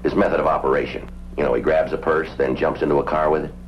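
An actor playing a stoic, methodical police sergeant in a radio drama, speaking and narrating in clipped, matter-of-fact fashion. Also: male voice, very deep voice, fairly even intonation, fast, faint music behind. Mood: businesslike. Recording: muffled old-time radio recording.

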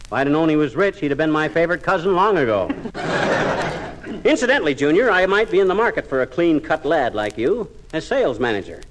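An actor playing a boastful, wisecracking American husband with folksy slang, in a 1940s radio comedy. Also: male voice, a little high, sing-song, fairly quick, poor audio. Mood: boastful, breezy. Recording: old radio recording, studio audience, faint noise.

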